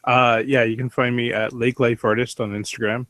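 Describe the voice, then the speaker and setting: A man's voice: mid-range voice, little pitch movement, normal speed, fairly bright tone, no room sound. A man chatting casually as a panelist on a podcast. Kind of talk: casual chat.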